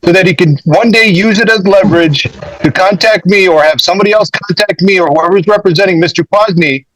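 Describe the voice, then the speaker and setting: Higher-pitched man, emphatic defense attorney. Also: fairly quick, a bit bright, rough recording. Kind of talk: courtroom argument.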